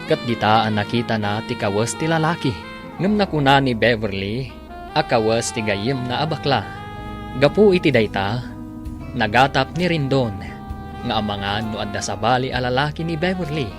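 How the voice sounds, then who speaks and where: Guy announcing a radio serial, formal dramatic read, medium pitch, music behind him. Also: expressive, moderate pace. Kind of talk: scripted radio drama narration.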